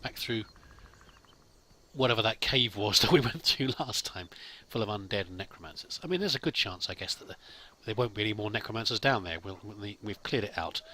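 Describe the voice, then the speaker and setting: A man's voice: fairly low pitch, expressive, a little fast, pushed and bright, slight background noise. A man musing aloud as he plays, improvising in-character commentary and talking to his companion as a let's play host.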